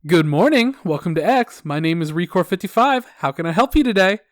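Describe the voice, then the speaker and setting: Man putting on a chirpy, high-pitched service rep. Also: animated, a little fast, no echo, clean studio sound. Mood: chirpy, polite. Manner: over-polite, chirpy. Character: relentlessly cheerful, sugary male customer service rep.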